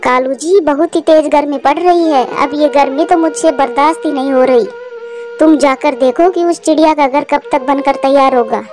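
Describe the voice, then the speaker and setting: A bossy, scheming crow wife played by a woman talks in a high, sharp-tongued way. This is cartoon dialogue over clearly audible music. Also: normal speed, close to an everyday voice. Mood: complaining.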